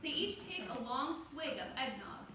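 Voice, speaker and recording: A woman reads scripted stage directions in a high voice. She sounds set back from the mic in a very echoey room, with clear background noise. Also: very animated, normal speed, distant mic. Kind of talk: scripted narration.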